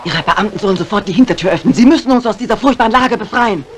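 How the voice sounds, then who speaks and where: An actress playing a resolute, unflappable mystery writer, speaking briskly and with command in vintage thriller style. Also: female voice, medium pitch, expressive, close to an everyday voice. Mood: urgent. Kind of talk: 1950s German dubbed thriller acting. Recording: faintly noisy vintage soundtrack.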